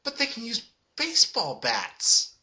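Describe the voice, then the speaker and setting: A man's voice: extremely high pitch, wildly swooping pitch, a little slow, pushed and bright. A man giving sarcastic review commentary in a dry, emphatic way. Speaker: comic reviewer.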